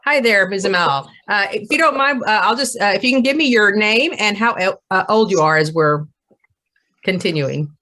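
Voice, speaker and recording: A woman's voice: mid-range voice, animated, normal speed, pushed and bright. A woman moderating a casual group conversation over a video call, friendly and hesitant with many fillers. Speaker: host and moderator.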